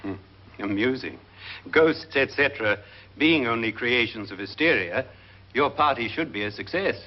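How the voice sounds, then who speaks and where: A man's voice: mid-range voice, expressive, normal speed, natural voice. An actor playing a skeptical doctor in a 1950s horror film, wry, educated and dismissive in his delivery. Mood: dry, amused. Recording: dull, noisy old film soundtrack.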